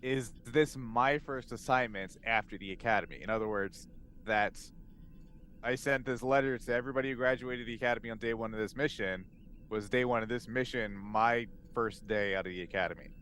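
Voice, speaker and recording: A man talking casually as a player in a tabletop game, informal and thinking out loud. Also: medium pitch, fairly quick, slight background noise.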